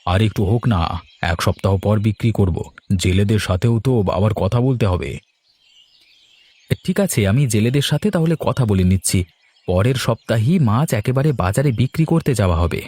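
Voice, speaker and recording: A man voicing a brisk, practical, hardworking village brother in a cartoon. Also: fairly low pitch, animated, a little mellow, slightly rough, no echo. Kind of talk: cartoon character dialogue.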